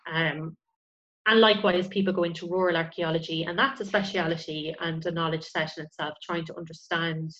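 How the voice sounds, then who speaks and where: A woman's voice: low-pitched, steady tone, brisk, fairly bright tone. A woman explaining her field informally as an interview guest, in a tentative way, on muffled lo-fi audio.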